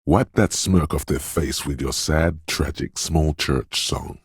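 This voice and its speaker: A man voicing a brooding, dreamlike inner voice of intuition in a video game, speaking in a slow, hushed, portentous and theatrical way. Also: no echo, clean studio sound, full character voice. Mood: brooding, insistent. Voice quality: very deep, husky, gravelly whisper-edged rumble. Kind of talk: dramatic video game voice acting. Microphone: close, dry studio microphone.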